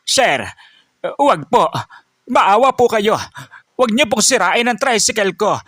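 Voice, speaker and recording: A man's voice: sits high, animated, slow, pushed and bright, no room sound, clean studio sound, pitched above the performer's own voice, full character voice. A man voicing a frightened old tricycle driver who stammers and begs. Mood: pleading. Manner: begging, stammering.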